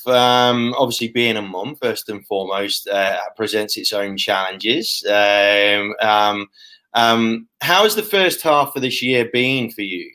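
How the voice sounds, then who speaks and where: Chatty male host, mid-range voice, fills pauses with ums, lavish with compliments. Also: mid-range voice, pushed and bright. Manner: chatty, many fillers, effusive praise. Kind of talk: live show interview.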